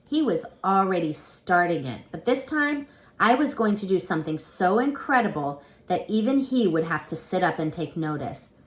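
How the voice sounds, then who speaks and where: Female storyteller, lower-pitched, expressive read-aloud of a picture book for children. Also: moderate pace. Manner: expressive, dramatic.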